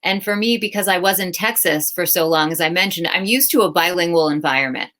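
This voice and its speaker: A woman's voice: lowish voice, brisk, fairly bright tone. A woman moderating a panel discussion, earnest and encouraging as she invites the speakers to talk.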